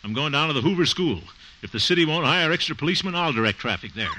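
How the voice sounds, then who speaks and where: A man's voice: fairly high pitch, animated, fast talker, pushed and bright. A man playing a bumbling, good-hearted middle-aged fellow in a hammy, folksy 1950s radio comedy style. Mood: wry, fond. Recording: noisy old 1950s radio recording.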